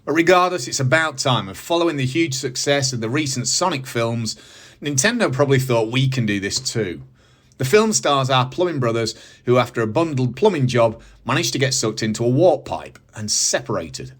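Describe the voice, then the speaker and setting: A British man in middle age speaks at an average male pitch as the host of a review show. His delivery is scripted commentary loosened by casual asides. He phrases his points with emphasis and enthusiasm. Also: very animated, moderate pace. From United Kingdom. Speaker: host of a movie review channel.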